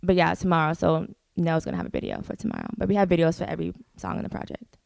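A woman's voice: lowish voice, big pitch swings, rapid-fire, gravelly, darkish tone, dry recording, studio quality. A young woman, a singer, chatting casually as a guest, laid-back and full of "like" fillers and swearing. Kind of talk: casual interview chat.

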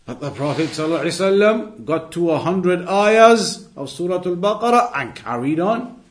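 A man giving an Islamic lesson, reading texts aloud and explaining them deliberately, repeating phrases for emphasis. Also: sits high, lively intonation. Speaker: religious teacher.

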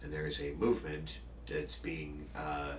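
A man's voice: a bass voice, level tone, a little slow, roomy sound, distant mic, a little hiss or hum. A man who works as a podcaster and journalist, talking casually about past interviews in a rambling way with many fillers and restarts.

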